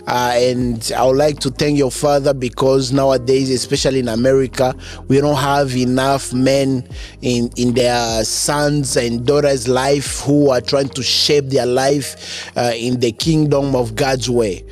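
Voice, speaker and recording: A man hosting a conversational interview, halting and repeating words as he phrases his questions. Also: medium pitch, level tone, darkish tone, faint music behind. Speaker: podcast host, interviewer.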